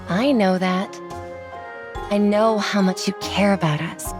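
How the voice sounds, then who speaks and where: A woman playing a calm, easygoing young squadmate in a video game, with a gentle, reassuring manner. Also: mid-range voice, takes it slowly, music in the background, lightly acted. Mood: reassuring, teasing. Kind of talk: voiced game story dialogue.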